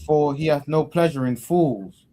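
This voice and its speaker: A man in a Bible study group, reading verses aloud slowly and carefully. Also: fairly high pitch, a crowd in the background.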